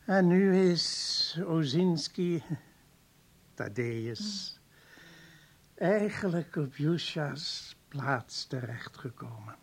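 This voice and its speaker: Old professor in his seventies, gravelly, lively pitch swings, kindly, wistful, hesitant, radio play. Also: drawn-out words, full character voice. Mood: wistful, apologetic. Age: 70s. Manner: hesitant, courteous, rambling. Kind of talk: Dutch radio play acting.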